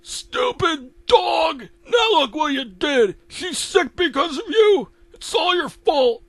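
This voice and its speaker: Shrieking, cranky old cartoon farmer. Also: male voice, very high voice, big pitch swings, slow, no room sound, voice pushed up, full character voice. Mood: furious. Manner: shouting, whiny outrage. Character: cranky, abusive old farmer husband, cartoon, bellowing and blaming.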